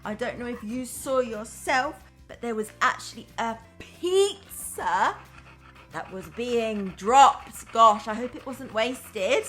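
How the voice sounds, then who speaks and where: A woman primary school teacher reading a story aloud to young children in an enthusiastic, expressive way, chatting to them between lines. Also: fairly high pitch, takes it slowly, faint music behind. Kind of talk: children's storytime read-aloud with asides to the audience.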